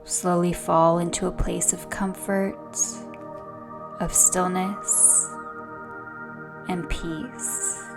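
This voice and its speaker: A woman yoga instructor leading a gentle guided meditation in a soothing, hushed, unhurried way, with a soft voice that turns breathy at times. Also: fairly low pitch, level tone. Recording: soft music behind the voice. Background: music.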